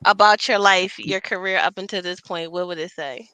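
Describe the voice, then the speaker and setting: A woman hosting a podcast in a casual, slangy, animated chat, often laughing. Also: lowish voice, moderate pace, clear, resonant tone, no echo, poor audio. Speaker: podcast host.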